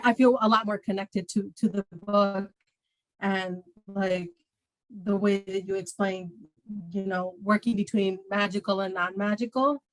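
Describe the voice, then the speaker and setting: A woman's voice: medium pitch, poor audio. An American woman co-hosting a virtual book event as a bookseller, reflective and hedging her questions with many fillers. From United States. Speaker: bookseller co-host.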